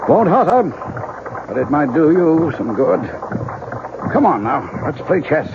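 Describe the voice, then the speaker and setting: Blunt, wry family doctor, 1970s radio drama, high and animated, thin hissy recording. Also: male voice, measured pace, narrow, tinny audio. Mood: wry, weary. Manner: blunt, grumbling, colloquial. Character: gruff, wry male country doctor and old friend.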